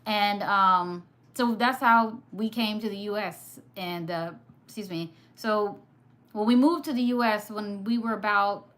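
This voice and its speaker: A woman from Sudan whose first language was Arabic, hosting a casual live stream, chatty and quick to laugh while reading comments. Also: mid-range voice. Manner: chatty, laughs often, teasing. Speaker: livestream host.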